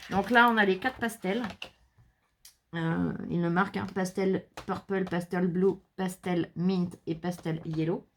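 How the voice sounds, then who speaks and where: A woman's voice: lowish voice, steady tone, measured pace, slight background noise. A woman vlogging a scrapbooking haul, chatting casually as she reads out color names and numbers and jokes about herself. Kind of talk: casual haul video, showing products. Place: indoors, shutters closed against the heat.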